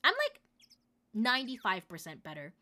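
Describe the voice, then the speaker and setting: Hoarse, stuffy young woman streamer. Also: mid-range voice, sing-song, moderate pace. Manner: chatty and warm with chat, many likes. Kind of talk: casual game stream chat, reading dialogue aloud.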